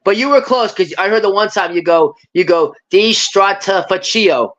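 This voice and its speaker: A man's voice: sits high, moderate pace, fairly bright tone, poor audio. A man from Brooklyn, a guest on a casual live stream, chatting and repeating himself as he drops Italian words. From Brooklyn, New York.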